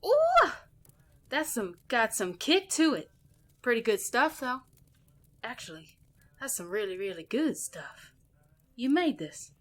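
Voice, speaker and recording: A mysterious Cajun healer woman, played by an actress, folksy and playful in a drawl. Her pitch swoops up and down freely, in the manner of an audio drama. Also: wildly swooping pitch, takes it slowly, long pauses, a bit bright, heavily acted. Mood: delighted.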